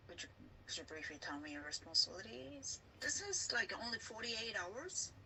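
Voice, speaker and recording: A woman interviewer asking job interview questions in a halting way, searching for her words. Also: fairly high pitch, very animated, bright, forceful tone, a little hiss or hum, poor audio.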